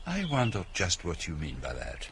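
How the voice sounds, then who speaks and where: Shrewd detective, French accent, slow and inquisitive, lively intonation, radio play, background noise. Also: male voice, deep voice. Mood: teasing, knowing. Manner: deliberate, inquisitive, halting over English words. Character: shrewd, courteous foreign detective with quiet vanity. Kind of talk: radio drama acting.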